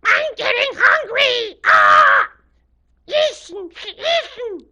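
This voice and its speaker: A woman voicing an excitable, vain, hungry crow in a storytelling, shrill and squawking, with loud repeated exclamations. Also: extremely slow, heavily acted. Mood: hungry, demanding.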